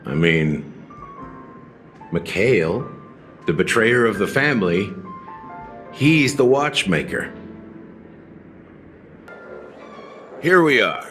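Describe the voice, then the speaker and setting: An actor playing a worldly, cynical detective guide in a video game, speaking in a theatrical, rhetorical way. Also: male voice, mid-range voice, a bit bright. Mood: pointed. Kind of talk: scripted video game dialogue. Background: music.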